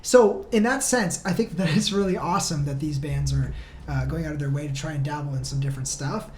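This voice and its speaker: A woman's voice: low voice, brisk, a little mellow. A woman talking casually and enthusiastically as a guest in a podcast interview. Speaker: band member guest. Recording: roomy, echoey room with noise.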